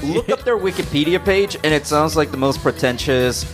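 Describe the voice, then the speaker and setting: A man's voice: on the high side, expressive, normal speed, over music. A man co-hosting a podcast in casual, opinionated banter, questioning things and swearing freely. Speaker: podcast co-host. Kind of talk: casual, bantering music chat.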